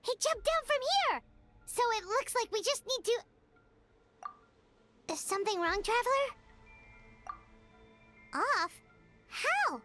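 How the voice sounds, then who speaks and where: An extremely high, squeaky little girl-like sprite speaks in bouncy, unhurried phrases. She is a perky, blunt companion in a cartoonish video game, with music clearly behind her. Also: big pitch swings, pushed and bright, exaggerated voice. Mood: eager, curious. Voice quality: squeaky, childlike. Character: tiny childlike female floating companion, excitable and blunt.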